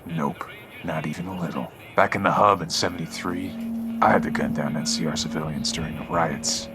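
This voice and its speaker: Deep, stern veteran soldier. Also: male voice, fairly quick, loud music behind the voice. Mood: matter-of-fact. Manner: terse, matter-of-fact, stern. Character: gruff, unrepentant retired soldier man, now caravan guard. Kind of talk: video game character dialogue.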